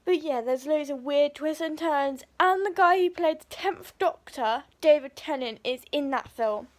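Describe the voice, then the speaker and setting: A woman chatting casually in a vlog, her voice croaky from a sore throat, rambling and repeating herself. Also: sits high, unhurried. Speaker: vlogger.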